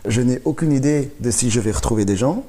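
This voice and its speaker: A man's voice: medium pitch, brisk, warm tone. A man, a comedian telling his life story as a guest in a casual interview, laughing often and restarting his sentences. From born in Rwanda, raised in Belgium. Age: 40s.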